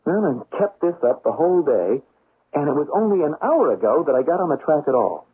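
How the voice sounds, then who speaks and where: An actor playing an eager, insistent young investigator's secretary in a 1970s radio drama, with excitable, emphatic delivery. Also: male voice, a little high, brisk, a little hiss or hum. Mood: methodical, pleased. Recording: dull, lo-fi 1970s radio recording.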